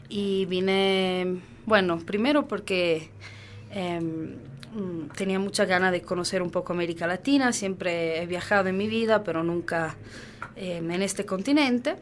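A woman's voice: medium pitch, slight background noise. A woman from Venice, native Italian speaker with an Italian accent, answering as a radio guest in a thoughtful way. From Venice, Italy. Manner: thoughtful, fillers, some laughter.

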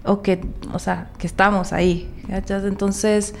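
A woman's voice: medium pitch, level tone, measured pace, gravelly, darkish tone, a little hiss or hum. A woman from Ecuador's Valle del Chota with an Ecuadorian Spanish accent, talking casually and animatedly as an interview guest. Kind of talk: casual conversational storytelling.

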